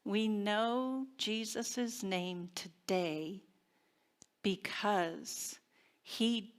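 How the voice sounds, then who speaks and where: A woman minister giving a sermon and guided prayer in a deliberate, reverent manner with long pauses. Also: lowish voice, a bit bright. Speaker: minister leading a service. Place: church service.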